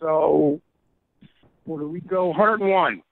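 Gravelly, high-pitched man, muffled. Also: slow pace, a little hiss or hum. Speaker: quiz contestant. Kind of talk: casual joking banter.

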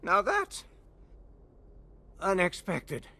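A man's voice: high voice, wildly swooping pitch, drawn-out words, bright, forceful tone, slight background noise, full character voice. An actor playing a deranged, gleeful older male villain in a video game, with theatrical mocking delivery. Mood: smug menace.

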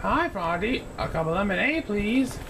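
A man's voice: sits high, very animated, normal speed, background noise, voice pushed up. A man voicing a polite young animal friend in a children's storybook, with childlike phrasing. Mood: polite, cheerful.

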